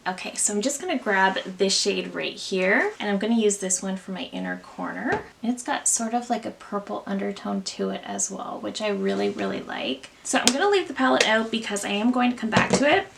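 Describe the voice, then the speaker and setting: A woman's voice: mid-range voice, lively intonation, fairly quick, creaky, hardly any pauses, background noise. A young woman hosting a casual makeup tutorial, chatting candidly about products and sounding congested from allergies. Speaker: beauty vlogger.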